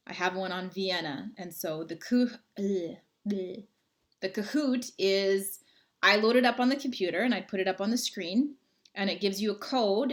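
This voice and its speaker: Chatty, friendly woman in her thirties, livestream host reading out viewer comments, mid-range voice, computer mic at home. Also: mid-range voice. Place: home, indoors.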